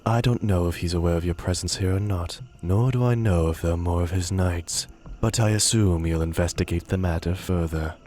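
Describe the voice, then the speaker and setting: Man as a low-voiced, sly dark elf assassin. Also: a little fast, slight background noise, close to an everyday voice. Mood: businesslike.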